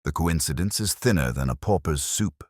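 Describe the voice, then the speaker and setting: Keen detective, played by a male narrator, very deep, animated, formal and precise, storybook mystery. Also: normal speed, no room sound, clean studio sound. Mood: intent, precise.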